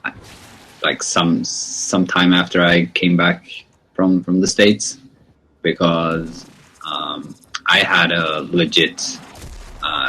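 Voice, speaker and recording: A man's voice: sits low, a bit bright, breathy edge, low-quality recording. A man from Sweden, chatting casually while streaming a game, often stammering and restarting his sentences. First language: Swedish. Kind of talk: casual gaming chat.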